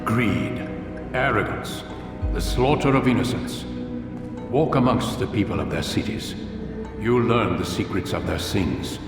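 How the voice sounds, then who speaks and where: An actor playing a stern, measured old master of assassins in a video game, with solemn, formal speech. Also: male voice, lowish voice, far from the mic. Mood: solemn, instructive. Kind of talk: dramatic video game acting. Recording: echoey hall with long reverb, music behind.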